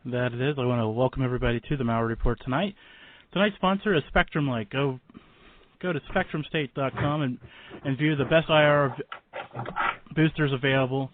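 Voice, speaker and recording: A man's voice: medium pitch, steady tone, brisk, a little hiss or hum. Rambling man hosting through a phone-like filter. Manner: rambling, self-deprecating, joking. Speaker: radio show host. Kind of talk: casual interview chat. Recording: dry, lo-fi phone-like radio audio.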